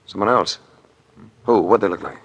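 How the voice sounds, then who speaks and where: An actor playing a wry, hard-boiled private eye with an American accent, dry and sardonic, in radio drama acting. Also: male voice, low-pitched, normal speed, fairly bright tone, husky, muffled recording, heavily acted. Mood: easygoing. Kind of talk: 1950s radio drama acting with first-person narration. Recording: very noisy old radio recording.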